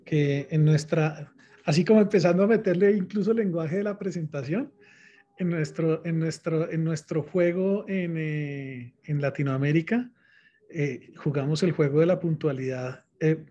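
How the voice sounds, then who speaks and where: A man's voice: fairly high pitch, moderate pace, warm tone. Man with a Colombian accent greeting a video call. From born in Mexico, raised in Colombia. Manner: chatty, greets each participant by name. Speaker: host of an online talk. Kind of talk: relaxed video-call welcome. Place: home room with closed blinds. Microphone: headset on a video call. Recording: muffled video-call audio.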